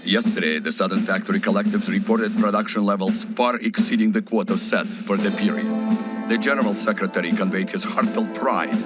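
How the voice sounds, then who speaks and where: An actor playing a solemn Soviet state newsreader, delivering a formal propaganda bulletin in a video game. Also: male voice, fairly low pitch, brisk, close to an everyday voice. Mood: proud, official. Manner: formal, official. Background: loud music.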